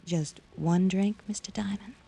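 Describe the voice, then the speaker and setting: A woman's voice: medium pitch, steady tone, unhurried, gravelly, heavy background noise. Coy, sultry carnival showgirl. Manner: coy, cool, guarded. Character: sultry, cautious carnival showgirl, adult woman. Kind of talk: 1940s-50s radio drama acting. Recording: old radio recording, faint music behind.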